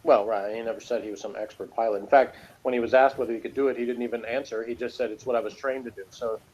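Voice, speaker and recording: Male reviewer, conversational and argumentative, mid-pitched voice on a dull, low-fidelity recording. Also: fairly even intonation, fast talker, a little mellow. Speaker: podcast reviewer.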